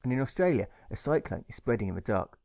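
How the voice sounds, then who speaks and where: A man reading a rhyming audiobook story aloud in measured, rhythmic phrases. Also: mid-range voice, very animated, creaky, darkish tone, no room sound, clean studio sound. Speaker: author reading his own audiobook. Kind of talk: scripted storybook narration in rhyme.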